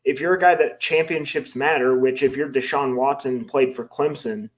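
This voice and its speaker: A man co-hosting casual sports talk, skeptical and measured. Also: medium pitch, fairly even intonation. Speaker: co-host.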